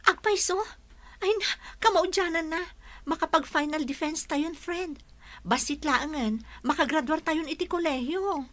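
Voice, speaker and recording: A woman's voice: high-pitched, unhurried, fairly bright tone. A woman playing a hopeful, dutiful young college student in a radio drama. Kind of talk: Ilocano radio drama acting.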